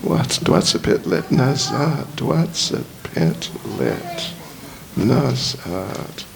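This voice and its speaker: A man with an English accent, a native English speaker, gives a lecture in slow, halting Russian, often searching for words. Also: medium pitch, sing-song, creaky, crowd noise. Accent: English-speaking, speaking Russian as a learner. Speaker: visiting spiritual teacher giving a lecture. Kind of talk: slow lecture with verse chanting. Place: lecture hall.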